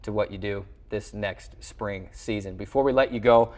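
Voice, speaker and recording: Lower-voiced man hosting sports talk. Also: moderate pace, a little mellow. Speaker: sports show host and play-by-play broadcaster. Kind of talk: sports talk show interview.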